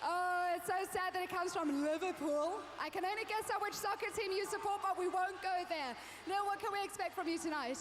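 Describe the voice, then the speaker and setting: Bubbly high-voiced female co-host. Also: a little fast, few pauses. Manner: bubbly, teasing. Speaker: game show co-host. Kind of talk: arena presenting. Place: indoor arena. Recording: noisy arena.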